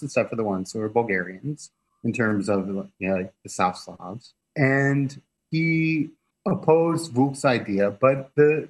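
A man appearing as a guest expert, explaining history in a relaxed way and chuckling as he goes, heard over a remote video call. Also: mid-range voice, husky, rough recording.

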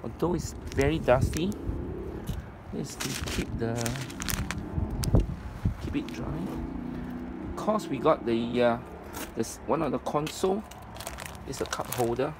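A man giving an informal, halting item-by-item walkthrough as a presenter at a storage unit. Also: lively intonation. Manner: halting, piecemeal delivery. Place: storage facility, at open unit. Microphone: handheld phone camera, speaker behind it. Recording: noisy handheld recording, traffic-like rumble.

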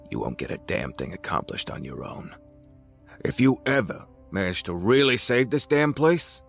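Guy doing a smug mob boss, kinda low voice, taunting and threatening, super animated, audio drama. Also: exaggerated voice. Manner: taunting, condescending, drawn-out threats. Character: menacing male crime boss, smug, arrogant, cynical. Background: music.

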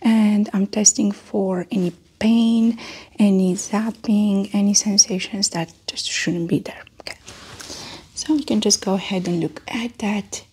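A woman speaks softly and unhurriedly in an ASMR doctor roleplay, slipping between breathy voice and whisper. She gives gentle, reassuring instructions one small step at a time. There is light background noise with small clicks. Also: medium pitch, steady tone, a little slow. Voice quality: soft, breathy, often whispered. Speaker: ASMR performer playing a doctor. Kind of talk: soft-spoken ASMR medical exam roleplay.

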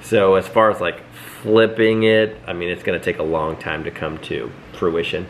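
A man in his thirties giving a quick, upbeat house tour and chatting at home. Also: sits low, little pitch movement, a little hiss or hum. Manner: quick, upbeat, rambling with restarts. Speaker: vlog co-host. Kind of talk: casual house tour and chat. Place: living room at home, indoors.

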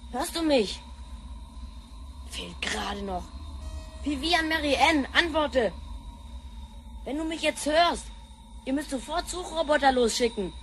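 An actress playing a brash, spoiled, jumpy young granddaughter from the future in a sci-fi radio play, slangy and excitable. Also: female voice, high voice, takes it slowly, long pauses, soft background music, full character voice. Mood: alarmed. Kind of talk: sci-fi radio drama acting.

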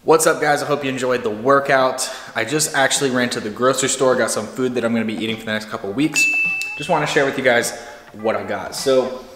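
A man's voice: medium pitch, fast, fairly bright tone. An American man in his thirties chatting casually to a camera held at arm's length in his home kitchen, as a fitness vlogger. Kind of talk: casual vlog talk to camera. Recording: echoey kitchen with music underneath. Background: background music.

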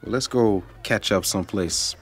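A man's voice: fairly low pitch, normal speed, soft background music, natural voice. An actor playing a streetwise young man teasing an old childhood friend in a video game cutscene. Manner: teasing, easygoing.

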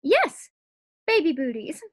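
A woman's voice: high voice, sing-song, slow, heavily acted. A woman acting a scheming, cheerful, scatterbrained housewife in a 1940s-style radio sitcom. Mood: playful.

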